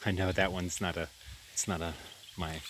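A man giving a relaxed, rambling tour of his farm by a creek, filmed on a handheld camera outdoors. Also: sits low, normal speed, background noise. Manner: rambling, relaxed, self-deprecating with restarts. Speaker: farm vlogger. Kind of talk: casual walk-and-talk farm tour.

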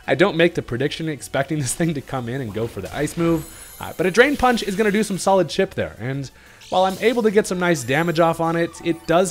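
A man giving casual, joking commentary over his own game battle, his voice still a little off from a recent illness. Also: on the high side. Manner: laid-back, jokey, swears casually. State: recovering from illness, voice slightly off. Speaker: gaming commentator.

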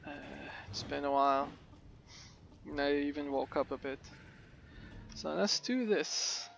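A man's voice: on the high side, measured pace, creaky, fairly bright tone, dull audio. A man hosting a casual game let's play, rambling and hesitant, his voice a bit crackly. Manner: rambling, hesitant with many fillers. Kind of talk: casual gameplay commentary.

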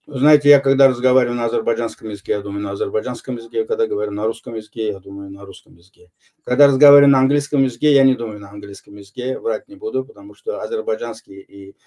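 Older man, low mellow voice, deliberate interview answers full of pauses. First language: Azerbaijani. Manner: measured, unhurried, frequent hesitations. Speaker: interview guest. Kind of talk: recorded online interview answers.

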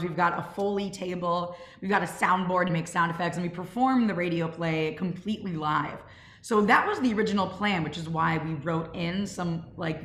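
A woman's voice: lowish voice, a bit bright. A young woman casually moderating a question and answer session over a video call. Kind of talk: casual hosting of a Q&A. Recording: roomy, noisy video call.

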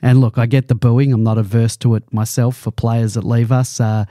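Mellow Australian man hosting a podcast. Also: medium pitch, flat delivery, moderate pace, no room sound, clean studio sound. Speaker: podcast host. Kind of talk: casual sports podcast chat.

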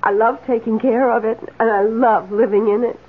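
An actress playing a devoted middle-aged housemaid in a radio drama, husky, deferential, earnest and hesitant. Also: female voice, on the high side, steady tone, normal speed, lightly acted. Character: devoted, humble, long-serving female housemaid. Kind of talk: old-time radio drama acting. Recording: muffled, band-limited old radio broadcast.

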